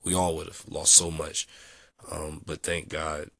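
Low husky young man, stuffy with a cold. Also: unhurried, no room sound. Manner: relaxed, rambling with many ums. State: sick, nasal and dried out. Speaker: vlogger. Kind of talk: casual audio log.

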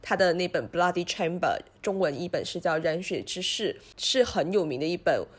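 A woman co-hosting a casual book discussion, expressive and dropping English words into her talk. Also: on the low side, moderate pace, husky. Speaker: podcast co-host.

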